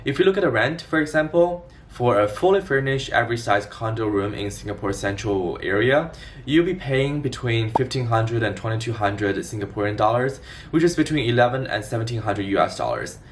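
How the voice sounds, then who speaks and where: Young male vlogger, twenties, fast and organized explainer read, recorded at a distance from the mic. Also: medium pitch, animated, slight background noise. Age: 20s. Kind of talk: scripted explainer vlog to camera.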